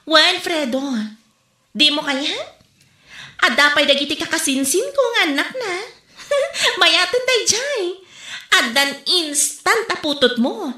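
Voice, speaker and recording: High bright-voiced Ilocano woman, teasing. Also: sing-song, takes it slowly, echoey room. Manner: teasing, laughing, playful. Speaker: mother in a comedy skit. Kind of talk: comedic dialogue acting.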